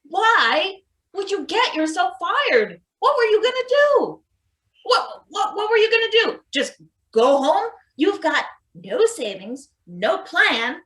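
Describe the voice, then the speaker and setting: A woman's voice: extremely high pitch, very animated, slow, a bit bright, exaggerated voice. A woman playing a guilt-ridden, weary, anxious maintenance worker in a play reading, earnest and pleading. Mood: alarmed, pressing. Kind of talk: live table read of a satirical stage play.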